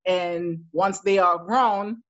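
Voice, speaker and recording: A woman in her thirties telling her life story as an interview guest, laughing often and getting animated when she quotes herself. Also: mid-range voice, takes it slowly, dry recording. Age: 30s. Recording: dull lo-fi sound.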